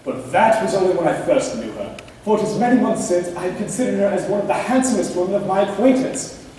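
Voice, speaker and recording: A man acting a proud, reserved, courteous young gentleman with formal delivery in an amateur stage play. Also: high-pitched, expressive, quick pace. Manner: formal, restrained. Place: theatre stage, recorded from a distance. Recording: echoey hall, distant mic, clear noise.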